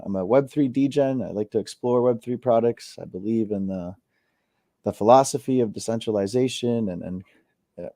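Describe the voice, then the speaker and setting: Low, mellow man in his thirties. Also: dry recording, clean studio sound. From Costa Rica. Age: 30s. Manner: relaxed, repeats words, many fillers. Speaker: guest. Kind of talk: casual interview chat.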